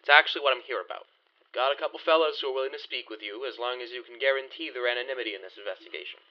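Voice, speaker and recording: A man's voice: mid-range voice, racing, highs cut off, close to an everyday voice. An actor playing a shrewd, guarded 1920s bootlegging man with slangy old-time gangster talk, in a period audio drama. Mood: businesslike.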